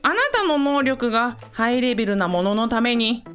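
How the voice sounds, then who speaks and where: A woman's voice: fairly high pitch, moderate pace, a bit bright, faint music behind, close to an everyday voice. A woman playing a composed, dutiful assistant in a radio drama, polite and professional as she explains things. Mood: explanatory, professional. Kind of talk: scripted radio drama acting.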